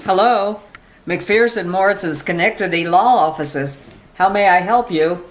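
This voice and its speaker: A woman's voice: mid-range voice, unhurried, lightly acted. Courteous office receptionist actress, scripted phone manners for a comic radio play, slight noise behind her. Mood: polite. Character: polite, formulaic law-office receptionist. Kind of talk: sitcom-style radio play dialogue.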